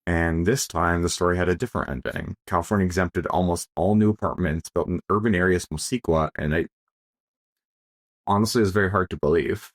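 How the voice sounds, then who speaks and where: A man co-hosting a podcast whose first language is Polish. His voice sits on the low side, and he talks in a lively, joking way, full of "like" and "um". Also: brisk. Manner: animated, jokey, lots of fillers. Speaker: podcast co-host. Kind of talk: casual chat.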